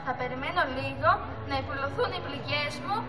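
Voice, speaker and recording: High-pitched young sea turtle played by a girl. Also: crowd noise, natural voice. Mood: sad, resolute. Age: teenager. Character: young female sea turtle, anxious, loyal, determined sister. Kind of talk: amateur school puppet show acting. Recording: echoey hall, music and audience noise.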